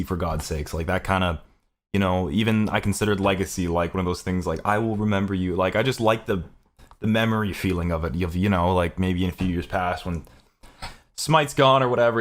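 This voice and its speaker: A man from Canada talking casually and earnestly to his viewers as a gaming content creator, rambling and swearing freely. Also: on the low side. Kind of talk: casual, unscripted talk to viewers.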